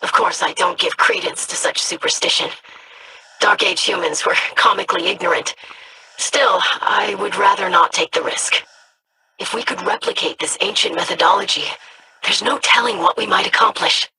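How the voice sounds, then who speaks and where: A performer voicing a scholarly researcher of ancient relics in a video game, speaking in a measured, formal, analytical way. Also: mid-range voice, vocal fry, pushed and bright, a little hiss or hum, rough recording. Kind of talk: video game character lines.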